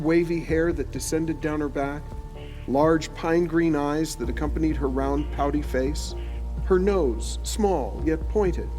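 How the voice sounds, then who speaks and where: A man's voice: on the high side, darkish tone, natural voice, low-quality recording. A man playing an aged, dying writer who narrates an audio horror drama in a solemn, formal, literary manner. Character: aged dying male writer, solemn, penning a final warning.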